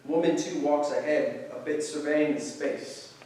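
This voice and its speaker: A man's voice: on the high side, fairly even intonation, a little slow, darkish tone, slight background noise. Man reading stage directions, echoey room. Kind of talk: scripted narration at a staged reading. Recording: very echoey room, distant mic.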